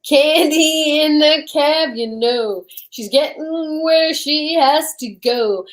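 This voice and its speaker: A playful singsong commentator, performed by a woman in an old-time radio mystery. The voice is fairly high and takes its rhymes slowly. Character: playful singsong commentator cheering on a girl sleuth. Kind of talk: rhyming interludes in old-time radio drama.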